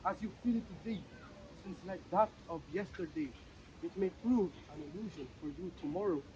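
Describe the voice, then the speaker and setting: A man's voice: high-pitched, fairly quick, warm tone, natural voice. An actor in a dramatic play reading, playing an earnest, philosophical man with a formal, emphatic, argumentative manner. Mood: calm, certain. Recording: distant mic, faint background noise.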